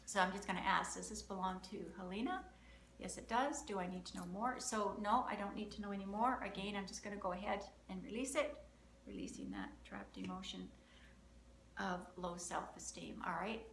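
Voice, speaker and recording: A woman's voice: mid-range voice, animated, fairly quick, a bit bright. Upbeat woman talking through a healing session. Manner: counts through options aloud, upbeat and chatty. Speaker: emotion code practitioner and host. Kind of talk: live energy-healing demonstration, talking through steps. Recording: roomy, slight background noise.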